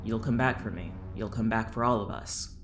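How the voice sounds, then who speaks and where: A man's voice: lowish voice, moderate pace, background noise, lightly acted. A man playing an insistent crew member in an audio drama, speaking tersely. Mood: grim, insistent. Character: insistent, wounded male crew member staying behind.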